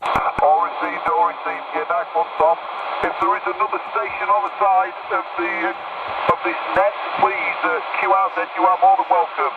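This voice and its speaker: A man's voice: high voice, steady tone, moderate pace. A man calling stations as a net controller over a CB radio transmission, using radio procedure. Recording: muffled, very noisy CB radio.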